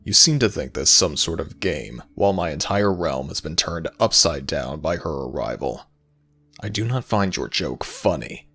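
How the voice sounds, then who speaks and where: A man playing a stern, brooding jackal god of the underworld in a fantasy audio roleplay, with a very deep, gravelly voice and a grave, formal manner. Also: animated, normal speed, bright, forceful tone, soft background music, heavily acted. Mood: indignant, stern.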